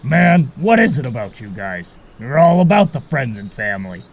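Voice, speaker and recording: A man's voice: mid-range voice, very animated, normal speed, gravelly. A man voicing a carefree, cagey marsh fairy guide in an audio drama, talking in a breezy, casual way. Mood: defensive. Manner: breezy, casual, a bit evasive.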